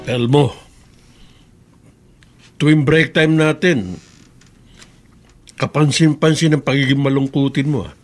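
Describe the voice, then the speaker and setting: A man's voice: medium pitch, slow pace, long pauses, faint music behind, close to an everyday voice. A man playing a concerned, plain-spoken workmate and friend in a Filipino radio drama, with a Filipino accent. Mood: consoling.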